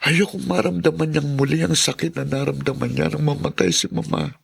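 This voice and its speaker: An actor playing an ailing, devoted young grandson in a podcast drama, speaking with halting emotion. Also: male voice, a little high, steady tone, gravelly, slightly rough, exaggerated voice. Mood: resigned, anguished. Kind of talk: podcast radio drama acting.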